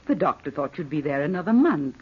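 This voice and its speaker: A genteel doting old auntie, kinda husky, dull old radio drama recording. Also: female voice, low-pitched, very animated, brisk, full character voice. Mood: composed, doting. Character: frail-hearted, devoted wealthy aunt, genteel older woman.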